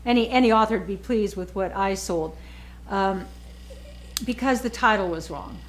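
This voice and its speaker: A woman's voice: mid-range voice, normal speed, poor audio. A woman in her sixties, an author, talking casually and emphatically, often repeating words as she makes her points. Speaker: author and guest. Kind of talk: casual chat.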